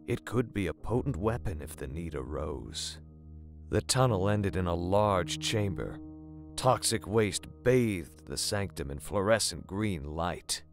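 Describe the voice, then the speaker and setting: A male narrator reads a grim horror tale with dramatic, scripted delivery. His voice is deep, and music plays softly underneath. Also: expressive, unhurried.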